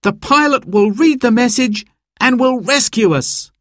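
A man's voice: very high-pitched, expressive, unhurried, no room sound, clean studio sound, voice pushed up. A man voicing an anxious, apologetic young boy who is eager to help, in a children's story.

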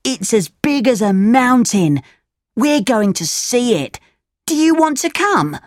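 Woman as naughty schoolboy, raised pitch, teasing, mocking, sing-song swoops, goading, storybook character. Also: big pitch swings, slow pace, no room sound, studio quality. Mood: luring.

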